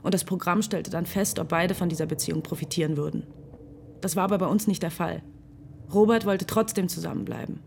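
Reflective young woman, radio drama narrator, low-ish voice, gravelly, calm and measured. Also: a little hiss or hum. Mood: pensive. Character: reflective young woman who trusts a dating program. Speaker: narrator and main character.

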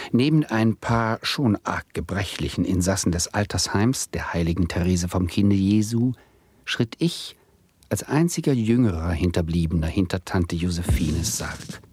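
An actor playing an adult nephew who narrates a radio play in a wistful, ironic, literary way. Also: male voice, sits low, a little mellow, husky, no echo, exaggerated voice. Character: wry adult nephew, stalled doctoral student, narrating.

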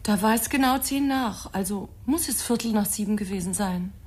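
A woman's voice: mid-range voice, moderate pace, a little mellow, slightly rough, lightly acted. An actress playing a distraught, defensive mother under police questioning in a German radio crime drama, hesitant and strained. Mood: anxious, hesitant.

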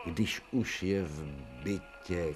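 An actor playing an irritable, commanding elderly surgeon-professor in a radio play. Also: male voice, low voice, loud music behind the voice, lightly acted. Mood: weary. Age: older. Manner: authoritative, commanding, sardonic.

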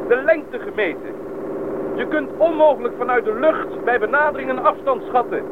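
Confident high-voiced pilot, tinny engine-drowned recording. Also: male voice, moderate pace. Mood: calm, instructive. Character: confident, practical bush pilot, adult man, eager and unafraid. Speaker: small-plane pilot. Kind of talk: Dutch radio play acting. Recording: tinny band-limited radio recording, loud engine noise.

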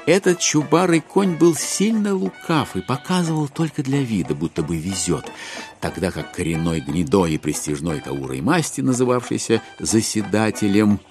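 A man narrating a classic literary story in an expressive, theatrical audiobook style. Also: medium pitch.

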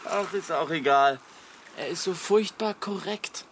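A man's voice: a little high, a little slow, a bit bright, a little hiss or hum. An actor playing a joking, gossipy young man chatting among friends in a naturalistic radio drama. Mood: amused.

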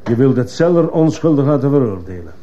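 An actor playing a stern, sceptical judge questioning a witness with authority in a radio drama. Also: male voice, mid-range voice, sing-song, a little fast, close to an everyday voice. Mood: probing, sceptical. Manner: authoritative, probing. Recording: muffled, slightly noisy old radio recording.

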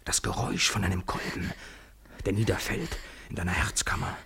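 An actor playing an anguished, obsessive lover in a poetic radio play, breathy and intense in theatrical declamation. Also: male voice, deep voice, normal speed, pushed and bright, exaggerated voice. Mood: hushed, yearning. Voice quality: breathy, husky. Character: obsessive, anguished male lover, swinging from tender to desperate. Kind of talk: literary radio play monologue, poetic and impassioned.

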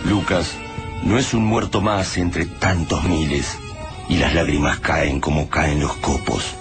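An actor as the solemn, dramatic storyteller of a bleak apocalyptic radio drama, reading literary scripted narration. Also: male voice, rumbling low, fairly even intonation, slow pace, over music, heavily acted. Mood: mournful.

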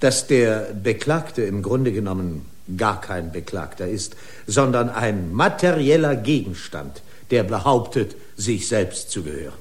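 An actor playing an indignant, officious company lawyer pleading in court with pompous, long-winded legalese in a radio drama. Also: male voice, on the low side, lively intonation, measured pace. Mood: coldly formal. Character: indignant, officious corporate attorney arguing in court. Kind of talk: German radio drama acting.

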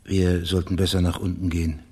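An actor playing a stern, weary investigator in a German radio crime drama, with a husky, raspy voice and a terse, grave manner. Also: male voice, low-pitched, flat delivery, a little fast, mellow. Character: stern, weary male investigator pressing suspects.

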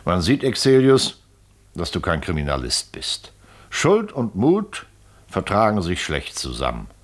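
A worldly, commanding legal official in a radio play. His voice sits fairly low but swings widely in pitch, with impatient authority. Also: huge pitch swings, a little slow, full character voice. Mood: grave, superior. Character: shrewd, commanding male legal official investigating a crime. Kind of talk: German radio drama acting.

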